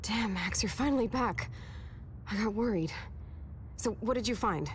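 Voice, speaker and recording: A woman's voice: a little high, normal speed, a little hiss or hum, lightly acted. An actress as a brash, cheeky teenage girl. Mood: excited, cocky. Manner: slangy, teasing, bold. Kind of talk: video game dialogue acting.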